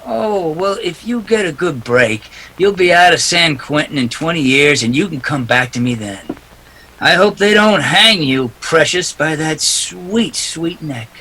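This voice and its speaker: A man's voice: on the high side, lively intonation, measured pace, pushed and bright, husky, exaggerated voice, poor audio. A man acting a hard-boiled, unyielding private detective in 1940s radio drama style, tough and emphatic. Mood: tender, bitter.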